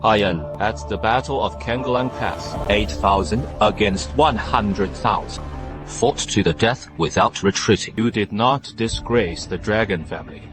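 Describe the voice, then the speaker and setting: A man acts out an undead dragon clan ancestor for an anime motion comic. His voice is deep and solemn, delivering imperious commands with supreme assurance over a loud music bed. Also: a little slow, long pauses, lightly acted, poor audio. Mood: solemn praise.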